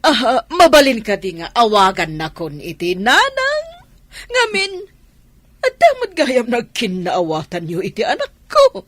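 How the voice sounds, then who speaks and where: A woman plays a catty, meddling older mother-in-law from an Ilocano radio comedy, with an Ilocano accent. She stretches her words slowly, her pitch leaping all over, in a dry close sound. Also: wildly swooping pitch, a bit bright, dry recording, full character voice. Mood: catty, delighted.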